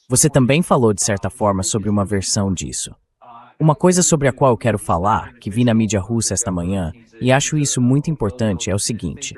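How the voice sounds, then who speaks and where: An AI-generated male voice with a medium pitch takes the role of a political interview host. He lays out points in a measured, explanatory way, as someone framing questions for a guest. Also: a little mellow. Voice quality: AI-generated dubbed voice. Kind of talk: political interview with read-out quotes.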